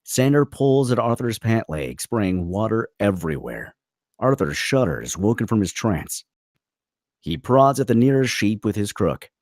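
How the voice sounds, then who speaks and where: Deep-voiced man narrating a screenplay. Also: sing-song, measured pace, a little mellow, no echo, clean studio sound. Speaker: narrator reading stage directions. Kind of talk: dramatic scripted narration at a table read.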